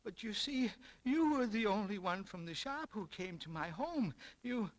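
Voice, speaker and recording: A man playing a weary, remorseful shop owner in a 1940s radio drama, grave and halting, on an old broadcast recording. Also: high voice, animated.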